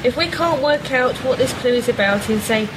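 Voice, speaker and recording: A woman's voice: sits high, expressive, moderate pace, background noise. A woman playing a worried but determined amateur detective in a radio play, earnest and polite.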